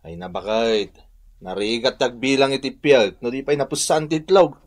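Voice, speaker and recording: A man's voice: medium pitch, normal speed, close to an everyday voice. A man playing a nosy, sceptical village husband in a radio comedy drama, questioning and exclamatory, with a Northern Luzon Filipino accent. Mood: doubtful, surprised.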